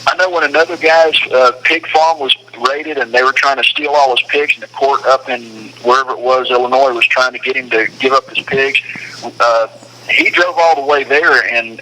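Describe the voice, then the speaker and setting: A man's voice: medium pitch, pushed and bright, a little hiss or hum. A man talking casually and with strong opinions over a phone call, explaining his points with emphasis. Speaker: detainee speaking on a phone call from a detention facility. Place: phone call from a detention facility.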